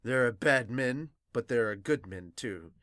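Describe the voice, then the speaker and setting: A podcast host voicing a solemn, courteous nobleman protector from a manga in a hushed, measured way. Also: mid-range voice, animated, no echo, studio quality, voice pushed down.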